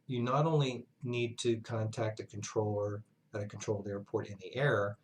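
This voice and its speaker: A man giving an instructional lesson as a flight instructor, speaking in a measured, halting way with pauses mid-sentence. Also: on the low side, fairly even intonation, a little hiss or hum. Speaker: flight instructor, university lecturer. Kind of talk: instructional narration, explanatory lesson.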